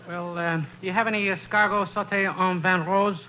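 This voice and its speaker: A naive young sidekick played by a man speaks in a high, quick voice. The delivery is guileless and silly 1950s radio comedy, on a faintly noisy old recording. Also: level tone, lo-fi sound, natural voice.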